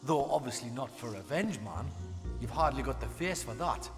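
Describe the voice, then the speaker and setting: A man's voice: mid-range voice, animated, normal speed, close to an everyday voice. A man playing a bitter, taunting faceless outcast in a video game cutscene. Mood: bitter, mocking. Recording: long echoing reverb, music behind.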